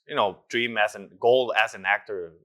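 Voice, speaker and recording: Young actor, earnest and reflective, low-ish voice, halting fillers. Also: male voice, a bit bright. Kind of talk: casual interview answers.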